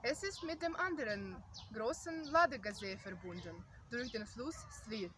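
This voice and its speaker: A woman's voice: a little high, expressive, a little slow. A woman from Karelia with a Russian accent, guiding viewers through local sights in German as a travel vlogger, explaining steadily with small halts in her second language, recorded outdoors. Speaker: travel vlogger and tour guide. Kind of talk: guided travel narration. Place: outdoors, lakeside and island sightseeing spots. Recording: distant outdoor camera mic with loud background noise.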